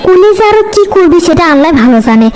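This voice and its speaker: A woman's voice: extremely high pitch, sing-song, fast talker, a little mellow, a little hiss or hum. A woman voicing a blunt, skeptical young girl in a comic cartoon, scoffing and questioning in a northern Bangladeshi accent. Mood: scoffing, demanding. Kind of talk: comic cartoon dialogue in rural dialect.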